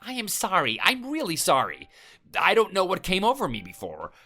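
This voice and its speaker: A man's voice: high voice, wildly swooping pitch, moderate pace, bright, forceful tone, husky, soft background music, voice pushed up, heavily acted. A man voicing a cowardly male neighbor who stammers and pleads, apologizing over and over. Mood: grovelling.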